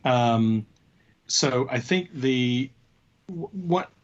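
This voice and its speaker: A man co-hosting a casual tech discussion, talking in a halting way as he thinks aloud. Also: mid-range voice, expressive, dull audio. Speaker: co-host of a tech show.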